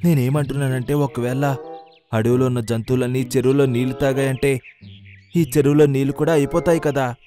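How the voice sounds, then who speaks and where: A man's voice: medium pitch, fairly even intonation, fairly quick, mellow, soft background music, natural voice. A man voicing a wicked, scheming leopard minister with an insinuating manner in a children's cartoon story.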